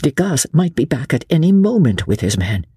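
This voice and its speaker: A woman's voice: low-pitched, big pitch swings, normal speed, mellow, husky, no echo, studio quality. A woman reading an audiobook as dramatic narration, in a theatrical, suspenseful manner.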